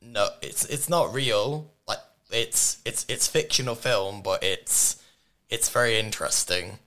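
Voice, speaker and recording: A young man hosting a casual radio chat show from a studio, his voice hoarse and worn, teasing and laughing often. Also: lowish voice, a little slow, sharp tone. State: hoarse, lost voice. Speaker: radio host. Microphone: studio microphone.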